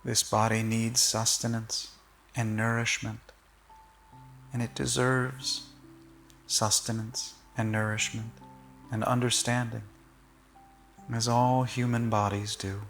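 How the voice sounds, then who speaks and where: A man leading a guided body-scan meditation in short, gentle, measured phrases with long pauses. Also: mid-range voice, little pitch movement, vocal fry, soft background music.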